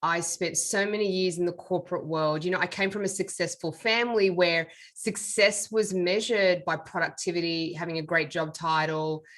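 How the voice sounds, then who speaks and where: Earnest woman, lowish voice. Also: steady tone, normal speed, hardly any pauses, a bit bright. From Australia. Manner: earnest, reflective storytelling. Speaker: guest, medium and author. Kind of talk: interview conversation.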